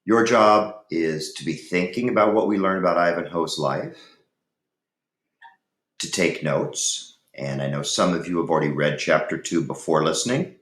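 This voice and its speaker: A man with a deep voice teaches and reads aloud. He is deliberate and instructive, leaving pauses between phrases. The room around him is noticeably echoey. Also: expressive, a bit bright. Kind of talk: lesson talk and chapter read-aloud.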